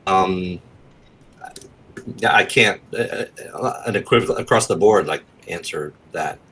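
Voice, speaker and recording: A man's voice: low-pitched, measured pace, a bit bright. A man working as an actor, talking casually and self-deprecatingly as an interview guest.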